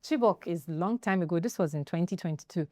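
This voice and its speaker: A Nigerian woman hosts a studio panel. She talks in a lowish, animated voice and acts out the people in her stories. The sound is close and dry. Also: a little fast, dry recording. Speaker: talk show host. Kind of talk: panel discussion, lively storytelling. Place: television studio.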